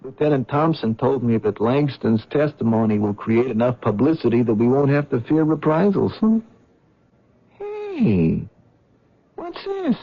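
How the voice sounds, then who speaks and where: Nervous cab-driving husband. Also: male voice, mid-range voice, sing-song, measured pace. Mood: relieved. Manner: nervous, stammering. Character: frightened cab driver husband, anxious everyman. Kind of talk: 1970s radio drama acting. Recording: dull old radio recording.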